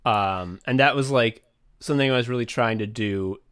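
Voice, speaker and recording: A man in casual podcast chat, rambling and restarting his sentences as he goes. He swears freely and leans on filler words. His voice sits at an ordinary middle pitch for a man. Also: moderate pace, a bit bright, clean tone. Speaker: podcast co-host and writer.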